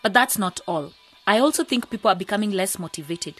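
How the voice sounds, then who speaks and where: An actress playing a frank, level-headed cooperative member in a radio drama. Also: female voice, mid-range voice, expressive, fairly quick, a bit bright, soft background music. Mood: concerned.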